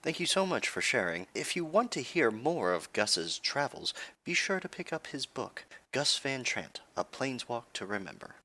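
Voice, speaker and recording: A male host presents a scripted public radio parody. His voice is mid-pitched and forward, with lively swings in intonation and a polished announcer's delivery. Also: normal speed, few pauses.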